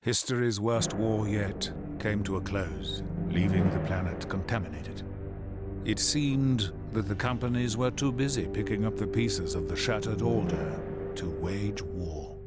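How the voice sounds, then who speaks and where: An actor playing a weary, regretful war narrator in a video game cutscene, grave, measured and reflective. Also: male voice, on the low side, natural voice. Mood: somber, reflective. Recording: loud music behind narration. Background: loud game soundtrack.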